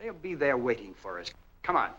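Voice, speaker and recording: Blunt, bright-voiced 1940s movie lawman. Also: mid-range voice, sing-song, fairly quick. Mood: commanding. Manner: blunt, commanding. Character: gruff, no-nonsense lawman taking charge. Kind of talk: 1940s film acting. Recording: dull, noisy old film soundtrack.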